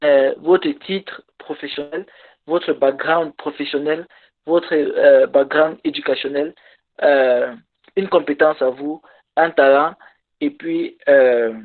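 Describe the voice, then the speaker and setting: A man whose first language is English, giving a workshop talk in halting French over a video call and pausing to search for words. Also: fairly high pitch, steady tone. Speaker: conference speaker. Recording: tinny phone-like call audio.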